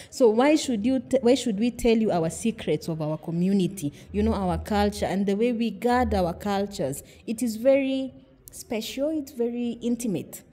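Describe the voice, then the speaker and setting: A woman's voice: on the high side, mellow. A middle-aged woman from Kenya, a podcast guest, explaining earnestly with examples and rhetorical questions. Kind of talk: conversational interview.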